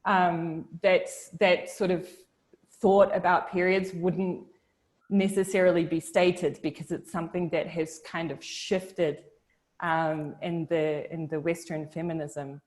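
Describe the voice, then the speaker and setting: A woman's voice: on the low side, little pitch movement, slow. A young woman from New Zealand on a video call, talking in a thoughtful and hesitant way with many restarts and fillers. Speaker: discussion participant. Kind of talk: casual video-call discussion.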